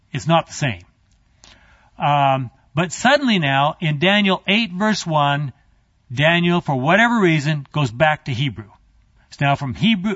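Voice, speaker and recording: A man with a fairly high voice teaches a Bible class, talking in an easygoing, conversational way full of asides to his listeners. The recording is dry and a little muffled. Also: fairly bright tone, no room sound.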